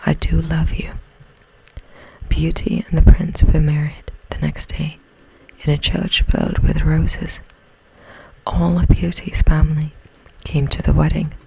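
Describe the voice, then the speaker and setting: A woman reads a bedtime tale in ASMR style. Her whisper is soothing and unhurried, dark and very breathy, with a creaky edge. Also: low voice, level tone, low-quality recording. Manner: gentle, slow, soothing. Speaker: bedtime story narrator. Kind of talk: ASMR, whispered storybook narration.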